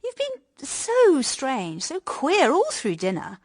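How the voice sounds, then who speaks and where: An actress in a radio drama playing an evasive young English wife who chatters breezily with brittle defiance. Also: female voice, sits high, huge pitch swings, takes it slowly, exaggerated voice. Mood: uneasy, probing. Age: young adult. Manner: breezy, over-bright society chatter. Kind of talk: BBC radio drama acting.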